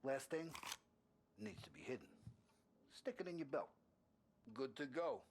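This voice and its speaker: An actor playing a gruff, joking older mob gunsmith who talks in a brash, teasing, swaggering way. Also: male voice, mid-range voice, sing-song, a little slow, background noise. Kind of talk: video game cutscene acting.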